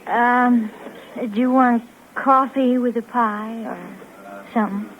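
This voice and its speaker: An actress playing a sassy young waitress in a 1950s radio crime drama, informal and brisk. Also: female voice, a little high, fairly even intonation. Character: pert, brisk young female lunch-counter waitress. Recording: muffled, hissy 1950s radio recording.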